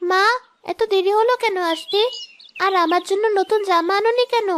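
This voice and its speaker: A woman's voice: very high voice, moderate pace, fairly bright tone, slight background noise. A woman voicing an eager little daughter of a poor family in a cartoon drama, childlike and wistful. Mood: disappointed.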